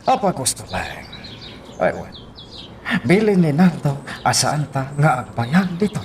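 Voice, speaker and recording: A man's voice: fairly high pitch, sing-song, a little slow. An actor playing a man in an Ilocano family love drama on radio, with an Ilocano accent. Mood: matter-of-fact. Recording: loud outdoor vehicle noise behind.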